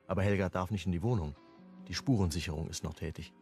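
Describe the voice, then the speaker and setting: An actor playing a sober first-person narrator in a crime radio drama, restrained, in short sentences. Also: male voice, sits low, quick pace, a little mellow, soft background music. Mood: sober, recounting.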